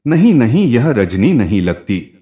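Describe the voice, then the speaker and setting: A man's voice: medium pitch, sing-song, unhurried. A man voicing a caring but suspicious, emphatic woman neighbour in a family story. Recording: dull, muffled lo-fi audio.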